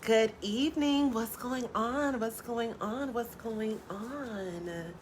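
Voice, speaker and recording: A tarot reader chats with her viewers on a live stream, soft-spoken and laid back. Her natural voice sits low and she rarely raises it. She fills her talk with "like" and swears casually, over a faint background hiss. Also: slow.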